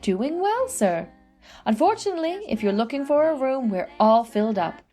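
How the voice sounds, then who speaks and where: An actress playing a chatty, quick-witted, independent woman innkeeper in an audio play. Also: sits high, big pitch swings, mellow, faint music behind. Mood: breezily evasive. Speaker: actor.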